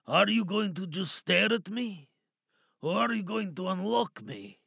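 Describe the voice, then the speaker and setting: A man acts out a mysterious, sultry captive from an audio drama. The voice coaxes and insinuates with shady charm, bright in tone and recorded dry and close. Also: sits high, expressive, a little slow, vocal fry, slightly rough, no echo, heavily acted. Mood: coaxing, guarded. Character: sultry, morally ambiguous captive coaxing with natural charm.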